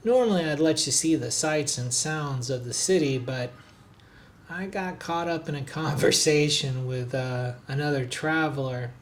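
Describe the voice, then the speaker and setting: A man narrating a hotel and city tour for his travel vlog in a relaxed, chatty way, with frequent "uh" and "um" fillers. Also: a little high, a little slow, slight background noise. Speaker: travel vlogger, hotel reviewer. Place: recorded on the road in a noisy city.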